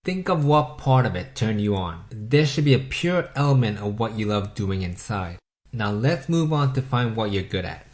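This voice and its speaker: A man with a Japanese accent, a native Japanese speaker, narrating a scripted motivational voice-over in a measured, instructive way and putting questions to the listener. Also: on the low side, a little mellow. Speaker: self-help video host.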